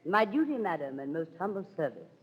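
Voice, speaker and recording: A woman's voice: lowish voice, very animated, a little fast, slightly rough, lo-fi sound. A woman playing a young noblewoman disguised as a page boy, speaking formal verse in 1930s radio Shakespeare style. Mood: courtly, humble. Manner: theatrical, formal verse delivery. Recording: vintage 1930s radio broadcast.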